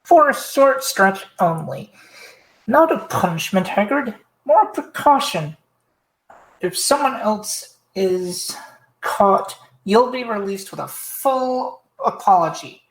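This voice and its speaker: A woman's voice: medium pitch, expressive, slow. A woman playing a flustered, officious male government minister in an audio drama, speaking in clipped, uneasy phrases. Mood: uneasy, official. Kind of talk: fan audio drama acting. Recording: roomy, echoing voice recording.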